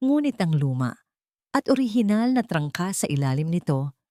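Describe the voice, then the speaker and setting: A woman narrates a dramatic audio story in a low-pitched voice. Her phrasing is deliberate and suspenseful, and the recording is close and dry. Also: big pitch swings, dark tone, no echo.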